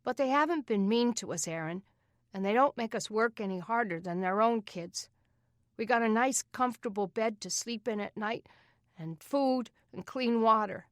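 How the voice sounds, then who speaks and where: A woman's voice: fairly high pitch, normal speed, no echo, studio quality, voice pushed up, close to an everyday voice. A woman doing an earnest pleading boy. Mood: firm. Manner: pleading, firm.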